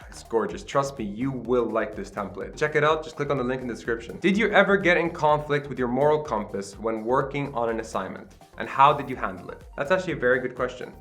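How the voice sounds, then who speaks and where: A man's voice: mid-range voice, animated, quick pace, few pauses, a bit bright, faint music behind. Chatty man in his late twenties. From Qatar. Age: late 20s. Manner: chatty, upbeat, self-deprecating, many filler "right?"s. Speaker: channel host. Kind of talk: casual vlog talk to camera. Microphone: camera with self-admitted poor sound.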